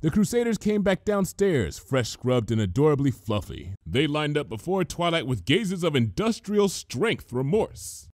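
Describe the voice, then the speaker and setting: A man reading a comic story aloud as narrator, in an expressive, measured storytelling manner. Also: a little high, dry recording, studio quality. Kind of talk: dramatic scripted fan fiction reading.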